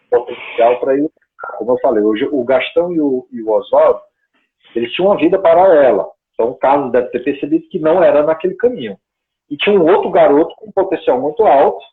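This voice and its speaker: A man of ordinary pitch talks through a long story as a guest in a relaxed, meandering way. He keeps checking in with the listener through small tag questions. His voice comes through thin and narrow, like a phone line on a video call. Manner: rambling, conversational, frequent tag questions. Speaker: guest researcher. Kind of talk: casual storytelling chat. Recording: tinny phone-like call audio.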